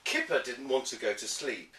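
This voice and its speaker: A man reading a bedtime story to children as host, in an expressive style that speaks directly to the young listeners. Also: medium pitch, moderate pace, slight background noise. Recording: echoey room, distant from the microphone.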